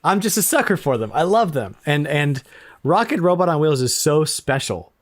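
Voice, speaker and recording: A man co-hosting a retro gaming podcast in casual chat, enthusiastic and quick to laugh, often repeating words. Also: a little high, very animated, dry recording, clean studio sound. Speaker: podcast co-host.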